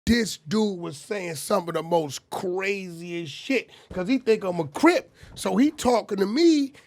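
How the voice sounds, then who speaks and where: A man in his forties telling street stories in casual, animated talk on a podcast. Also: sits high, a little slow. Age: 40s. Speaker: podcast guest. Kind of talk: casual storytelling.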